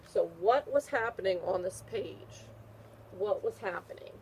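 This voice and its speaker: A woman's voice: medium pitch, lively intonation, measured pace, darkish tone, slight background noise, rough recording. A woman teacher reading a picture book aloud to young children, repeating questions and answers in an encouraging way. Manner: encouraging, praising the listener.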